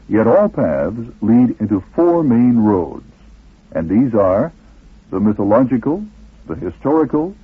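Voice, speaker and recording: A man's voice: fairly low pitch, husky, no room sound. A man reading scripted narration as the host of a radio documentary. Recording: muffled lo-fi old radio recording.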